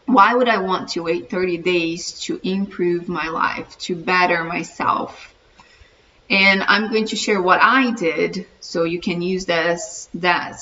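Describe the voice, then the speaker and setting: A woman's voice: lowish voice, pushed and bright. A middle-aged woman from Brazil with a Brazilian Portuguese accent, earnestly introducing her channel and encouraging viewers. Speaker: vlogger, channel host. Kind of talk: casual self-introduction to camera. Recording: distant mic, dull muffled sound, faint room hiss.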